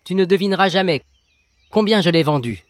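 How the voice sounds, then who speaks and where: A man plays a young farm lad in a children's cartoon tale. The voice is on the high side and recorded dry. He is cheeky and eager, always ready to argue or play on words. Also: lively intonation, fairly quick, dry recording. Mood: excited. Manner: cheeky, eager, pun-loving. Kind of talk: children's cartoon storybook acting.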